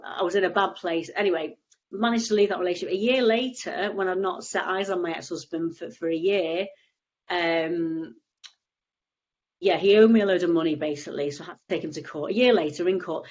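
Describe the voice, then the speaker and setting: A woman's voice: lowish voice, muffled recording. A woman with a cold and a stuffy nose, explaining tapping casually on a video call, rambling with frequent restarts. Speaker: EFT tapping practitioner, guest.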